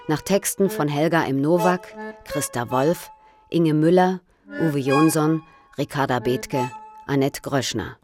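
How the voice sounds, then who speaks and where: A woman announcing a radio play in a measured way, pausing between short phrases. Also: deep voice. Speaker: announcer and performer. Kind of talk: radio play credits and slow recited verse. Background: accordion or harmonica music.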